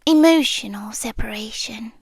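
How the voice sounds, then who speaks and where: A woman with a British accent playing a possessive, lovestruck monster girlfriend in an intimate ASMR roleplay, often dropping into breathy whispers. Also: fairly high pitch, no echo. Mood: wistful, whispered. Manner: slow, breathy, often whispering, intense and tender. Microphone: close ASMR microphone, dry.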